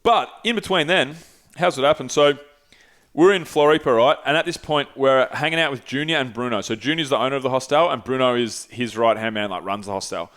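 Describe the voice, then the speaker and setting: Brief: podcast host, 30s, loose storyteller, salty language, small studio. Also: male voice, mid-range voice, brisk. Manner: animated, swearing, gesturing. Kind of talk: casual storytelling. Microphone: headset with desk mic.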